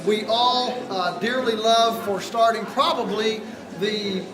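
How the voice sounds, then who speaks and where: A man acting as emcee, introducing the next speaker in a halting way as he searches for words. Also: high voice. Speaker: event emcee. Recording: distant echoey recording with crowd.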